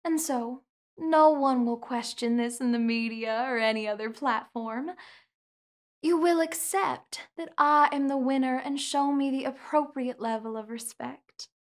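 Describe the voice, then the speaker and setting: A young woman in a studio, speaking soft, slow, soothing hypnosis roleplay with repetitive counting and commands. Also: sits high. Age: young adult. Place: indoor studio set with curtain backdrop.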